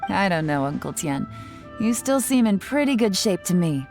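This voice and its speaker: A woman's voice: medium pitch, sing-song, moderate pace, darkish tone, faint music behind. An actress playing a composed young woman investigator in a video game, speaking in a cool, direct, businesslike way. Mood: lightly teasing. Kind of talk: video game dialogue acting.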